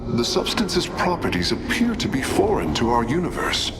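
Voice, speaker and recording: Lively, precise alien engineer. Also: male voice, fairly high pitch, normal speed, creaky, heavy background noise. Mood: analytical. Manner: precise, scholarly, formal. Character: analytical, skeptical male alien engineer, earnest and precise. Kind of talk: video game voice acting.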